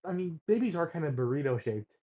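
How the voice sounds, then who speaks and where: A man's voice: a little high, sing-song, fairly quick, dull audio. A man co-hosting a show reaction, teasing his friend in slangy, casual talk and laughing a lot. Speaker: co-host of a reaction channel.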